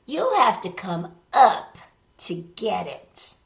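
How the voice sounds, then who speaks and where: A woman voicing a clever monkey in a storybook, calling out in a drawn-out, teasing way. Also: mid-range voice, huge pitch swings, gravelly, echoey room, lo-fi sound, voice pushed down, exaggerated voice. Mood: teasing, triumphant. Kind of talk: storybook read-aloud.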